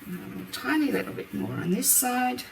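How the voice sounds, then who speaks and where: A woman hosting a card-making demonstration, chatting through each step with a croaky voice. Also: on the high side, lively intonation, normal speed. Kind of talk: casual craft demonstration. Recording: roomy, mic at a distance, faint background noise. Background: birds outside.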